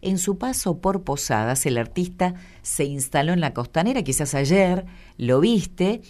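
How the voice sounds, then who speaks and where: A woman's voice: on the low side, expressive, measured pace, darkish tone. A woman with an Argentine accent hosting a casual radio chat, chatty and full of fillers. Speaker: radio host.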